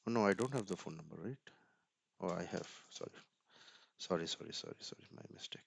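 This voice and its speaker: Low-voiced man, thinking aloud. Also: quick pace, vocal fry, breathy edge, no room sound. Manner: thinks aloud, muttering and hesitant, often repeating words. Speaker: tutorial presenter. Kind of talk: informal screen-share tech walkthrough.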